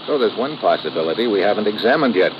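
Terse radio-serial sleuth , male actor, deep and matter-of-fact, on a muffled, noisy old recording. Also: expressive, quick pace, lightly acted. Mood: brisk, reasoning. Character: terse, level-headed male investigator narrating his own case. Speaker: lead actor and narrator. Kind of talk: old-time radio detective drama acting with first-person narration.

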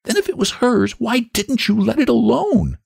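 A man puts on a blunt storybook woman, indignant and scolding. The voice leaps very high and swoops dramatically, with sharp-tongued exclamations. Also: huge pitch swings, slow pace, slightly rough, full character voice. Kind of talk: storybook character voice.

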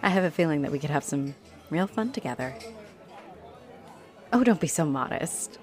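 A woman's voice: sits low, animated, normal speed, darkish tone, faint music behind, some chatter behind. A woman playing a friendly, teasing, flirty female halfling orc adventurer in a roleplay audio addressed to the listener. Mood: playful, flirty. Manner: teasing, chuckling, coy. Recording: noticeable noise behind the voice.

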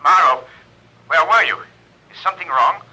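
A man playing an excitable associate in a 1940s radio detective drama, anxious and questioning. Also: a little high, normal speed, natural voice. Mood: alarmed, puzzled. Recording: noisy vintage radio recording.

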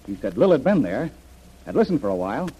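Husky, animated radio adventure hero. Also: male voice, medium pitch, quick pace. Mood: troubled. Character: decisive trusting adventurer man, troubled by a puzzle. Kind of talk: old-time radio adventure acting. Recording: dull old radio recording.

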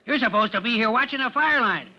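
A man's voice: extremely high pitch, animated, fast talker, dull audio. A man playing a shifty, indignant working-class mechanic in an old-time radio drama, defensive and slangy. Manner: defensive, uneducated slangy speech.

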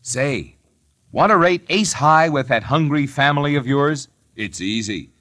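American guy doing old timey radio ad, folksy salesman, super lively intonation. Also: mid-range voice, unhurried, soft background music. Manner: folksy, persuasive sales patter. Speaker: commercial announcer. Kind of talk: vintage radio bread advertisement.

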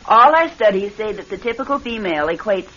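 A prim, proper corporate researcher in a vintage radio play. Her voice is slightly husky with lively intonation, heard through a very narrow, tinny old recording. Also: mid-range voice, fairly quick. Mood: prim, polite. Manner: precise, formal. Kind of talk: old-time radio drama acting.

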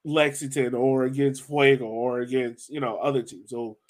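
A man with a medium-pitched voice hosts a sports podcast. He talks informally, as in a relaxed chat about the team. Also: normal speed. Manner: informal, conversational. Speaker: podcast host. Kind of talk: casual sports discussion.

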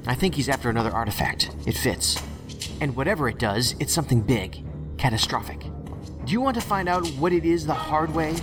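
Man playing stubborn reckless federal agent, sardonic, urgent, clipped phrasing, audio drama, clear backing music. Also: medium pitch, animated, brisk, lightly acted. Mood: urgent, intense.

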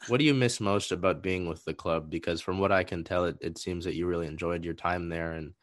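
A man's voice: deep voice, steady tone, a little fast, dry recording, studio quality. A man interviewing a soccer player, asking long, rambling questions with many restarts and fillers. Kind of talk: conversational podcast interview.

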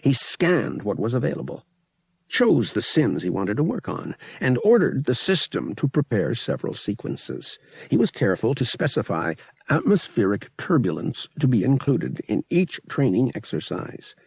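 A man narrating a science fiction audiobook as a scripted, expressive dramatic story. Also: medium pitch, moderate pace, vocal fry, husky, no echo.

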